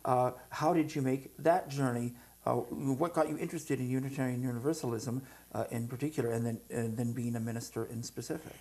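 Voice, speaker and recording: A woman's voice: extremely low pitch, fairly even intonation, brisk, few pauses, warm tone. A woman hosting a local community interview show, asking questions in a conversational style.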